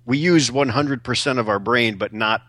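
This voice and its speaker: A man's voice: medium pitch, normal speed, sharp tone, dry recording. A man in a casual podcast chat, laid-back and matter-of-fact. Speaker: podcast co-host.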